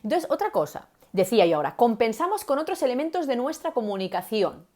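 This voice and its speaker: Lively woman coaching viewers. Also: a little high, fast talker. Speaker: communication coach. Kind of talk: live-stream teaching talk.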